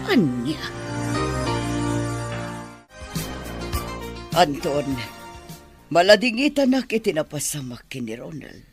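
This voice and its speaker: A woman's voice: low-pitched, very animated, moderate pace, soft background music. A woman with an Ilocano accent playing an anxious madam in a radio drama. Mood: shaken, apologetic. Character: anxious older madam blamed for a family tragedy.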